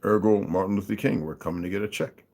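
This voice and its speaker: A man's voice: low voice, steady tone, fast talker, a little mellow. A man hosting his own channel, talking through his views in a casual monologue, with emphatic asides and listing figures aloud. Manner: emphatic, mocking interjections; reads figures aloud, asks listeners to follow along. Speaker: channel host.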